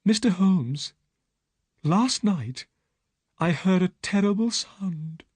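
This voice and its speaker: A man's voice: high-pitched, lively intonation, takes it slowly, darkish tone, slightly rough, no room sound, pitched above the performer's own voice, heavily acted. A man voicing a frightened young woman who pleads for help. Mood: fearful. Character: frightened young woman telling her story.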